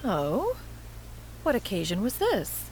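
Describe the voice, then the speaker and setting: A woman's voice: mid-range voice, huge pitch swings, takes it slowly, darkish tone, a little hiss or hum, poor audio. An actress playing an ancient, dignified female immortal in a video game, speaking proudly in formal, archaic diction. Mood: gracious. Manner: stately, archaic formal diction, calls herself "one".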